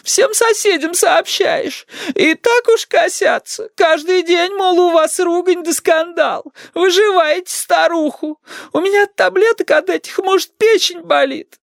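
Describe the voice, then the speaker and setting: A querulous old grandmother in a dramatized storybook. The voice is extremely high and shrill, dragging words out slowly in plaintive, martyred wails. It sounds close and dry. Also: vocal fry, dry recording, heavily acted. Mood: wailing, aggrieved. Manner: plaintive drawn-out shrieking and moaning. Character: querulous, manipulative old grandmother, wailing and suspicious. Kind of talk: dramatized audiobook reading.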